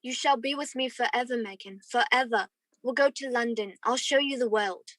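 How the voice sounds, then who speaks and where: Woman playing an earnest, lovestruck young gentleman. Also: on the high side, moderate pace, no room sound, natural voice. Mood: tender, ardent. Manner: tender, romantic, earnest. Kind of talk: radio play acting and narration.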